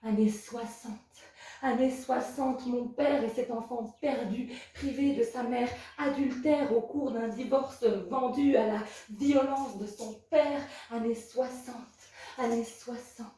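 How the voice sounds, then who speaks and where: A woman from Belgium reciting spoken-word poetry as a slam poet, in a measured, rhythmic, incantatory way. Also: a little high, dark tone. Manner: measured, rhythmic, incantatory delivery with repeated refrains. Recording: very echoey, distant from the mic.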